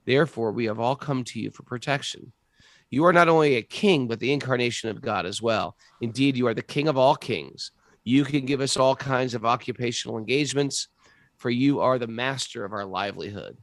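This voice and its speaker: A man reading aloud the plea of starving citizens to their king, in an earnest, gravelly voice. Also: medium pitch, brisk, a bit bright, no echo, close to an everyday voice, rough recording. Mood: pleading.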